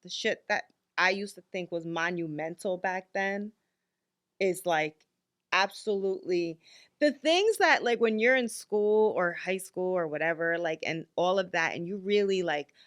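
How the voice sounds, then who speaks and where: A woman's voice: lowish voice, measured pace. A woman in her fifties co-hosting a podcast, talking candidly and bluntly, with lots of filler likes. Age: 50s. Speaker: podcast co-host. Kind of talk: casual chat.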